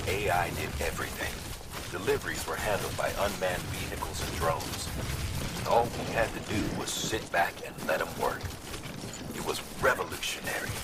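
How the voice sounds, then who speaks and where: A man's voice: low voice, noisy background, lightly acted. A man playing a world-weary commander in a video game, briefing with blunt authority. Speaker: commander character.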